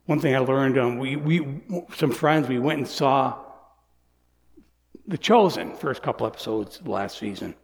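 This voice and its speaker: A man's voice: medium pitch, expressive, a little slow, creaky. Earnest pastor in his sixties, echoing hall. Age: 60s. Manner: earnest, shifts between soft near-whispers and raised emphatic lines. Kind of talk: sermon. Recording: long hall reverb.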